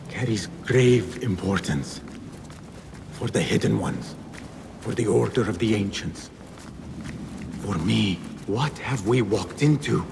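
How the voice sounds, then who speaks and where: A man's voice: lowish voice, gravelly, background noise, exaggerated voice. An actor playing an earnest, troubled young male assassin with grave, formal speech in a dramatic game cutscene. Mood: grave, uneasy.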